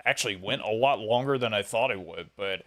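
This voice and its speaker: A man's voice: lowish voice, fairly quick. A man hosting a podcast, chatting casually with his guest as interviewer.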